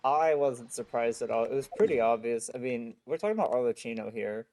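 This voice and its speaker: A man's voice: mid-range voice, lively intonation, a little fast, darkish tone, slight background noise. A man giving casual live commentary as he streams a game. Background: music.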